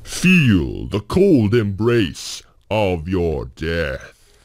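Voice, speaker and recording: A man voicing a cold, evil, possessed undead knight in a slow, menacing manner for a demo clip. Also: wildly swooping pitch, a little mellow, heavily acted. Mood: cold menace. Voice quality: haunted, creepy. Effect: demo takes, some pitch-shifted, phased or echoed.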